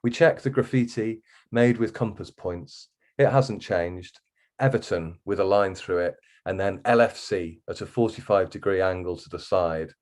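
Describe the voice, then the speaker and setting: Male author, lower-pitched voice, expressive reader of first-person fiction. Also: normal speed. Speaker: guest author reading from a novel.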